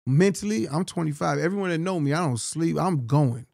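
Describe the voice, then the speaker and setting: A middle-aged man hosts a studio podcast and keeps the chat loose and upbeat, slipping in jokes. His voice is gravelly with fry on the ends of phrases. The mic is close and dry. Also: fairly high pitch, lively intonation, a little fast, darkish tone, dry recording. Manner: upbeat, joking banter. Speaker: podcast host. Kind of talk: casual chat. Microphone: studio mic on boom.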